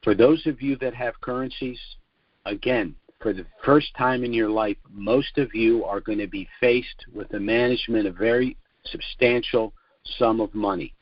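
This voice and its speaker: An older man giving a formal, deliberate sales presentation as the host of a financial conference call. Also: mid-range voice, no room sound. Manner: deliberate, formal, measured phrasing. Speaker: financial trust consultant hosting a conference call. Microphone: telephone conference call. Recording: muffled lo-fi conference call audio.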